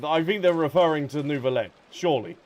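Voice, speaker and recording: A man's voice: fairly high pitch, a little fast, darkish tone. A man doing casual, joking live commentary as he streams a video game. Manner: joking, chatty, reactive. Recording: faint game music behind the voice.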